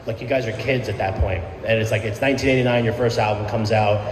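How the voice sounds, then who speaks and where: A man's voice: fairly low pitch, steady tone, fast, music in the background. A man hosting a radio interview, asking loose, rambling questions and affirming often. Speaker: radio host. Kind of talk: casual interview. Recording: echoey room with noise behind.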